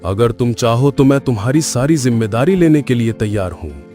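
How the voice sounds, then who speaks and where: A man's voice: medium pitch, expressive, normal speed, dark tone, soft background music, close to an everyday voice. A man playing a kind, courteous father billy goat in a cartoon story, speaking politely and earnestly. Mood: tender, hopeful. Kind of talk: Hindi cartoon story acting.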